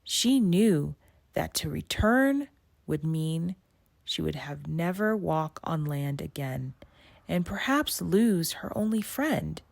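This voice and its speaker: A woman reading a children's story aloud in an expressive, deliberate storytelling manner with dramatic pauses. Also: low-pitched, vocal fry, dry recording. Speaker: storybook reader. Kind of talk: scripted read-aloud narration.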